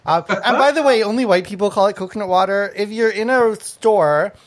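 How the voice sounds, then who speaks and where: A woman from Thailand hosting a casual podcast, blunt and quick to laugh, with the odd curse. Her voice sits at a middling pitch. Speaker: podcast host.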